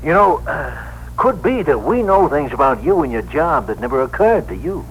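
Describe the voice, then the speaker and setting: Weary middle-aged cabbie. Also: male voice, fairly high pitch, very animated, moderate pace. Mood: hesitant, reasoning. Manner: hesitant, colloquial, earnest. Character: weary, plain-spoken New York cab driver, philosophical. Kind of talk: 1960s radio drama acting. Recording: noisy, humming old radio broadcast.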